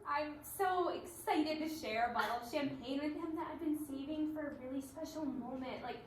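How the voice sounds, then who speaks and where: A woman's voice: high-pitched, normal speed, lightly acted. A woman performing readers theatre as a giddy, hopelessly romantic dating show contestant, gushing with enthusiasm. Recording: echoey room, distant mic, some noise.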